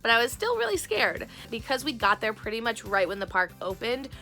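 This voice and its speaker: A woman vlogger telling a story in a chatty way, gushing and excitable, her voice hoarse from losing it. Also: on the high side, brisk, faint music behind.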